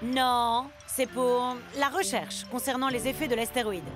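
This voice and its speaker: A woman's voice: fairly high pitch, fairly even intonation, unhurried, faint music behind, close to an everyday voice. A woman voicing a wry, teasing female space crew member in a French cartoon dub.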